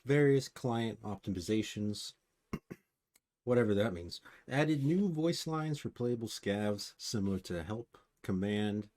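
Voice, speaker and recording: A man's voice: on the low side, expressive, slow, darkish tone. A man giving casual, opinionated commentary on game patch notes, with dry asides as he reads. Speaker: gaming guide host.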